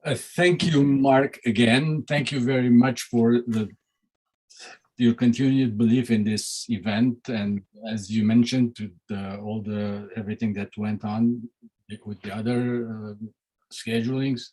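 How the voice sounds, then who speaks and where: A filmmaker from Lebanon thanking the host on an online panel, speaking in a halting way as he searches for words over a video call. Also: medium pitch, fairly even intonation, a little mellow, rough recording. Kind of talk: remarks on a webinar panel.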